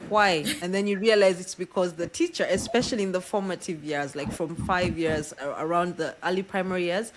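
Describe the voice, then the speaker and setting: A woman from East Africa hosts a relaxed podcast interview. Her voice sits a little low, and she talks in a chatty, storytelling way, laughing often. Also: brisk, few pauses, slight background noise, rough recording. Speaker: podcast host. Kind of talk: casual interview chat.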